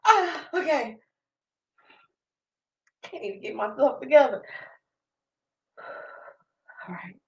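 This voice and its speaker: A woman with a raspy voice hosting a casual live-stream chat, animated and quick to laugh, with music playing in the room. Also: sits high, muffled recording. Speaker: host.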